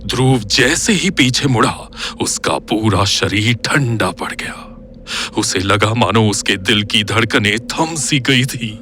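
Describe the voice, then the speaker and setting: A man's voice: lowish voice, animated, takes it slowly, sharp tone, a little hiss or hum. A man narrating a scripted horror audio story in a suspenseful, dramatic manner.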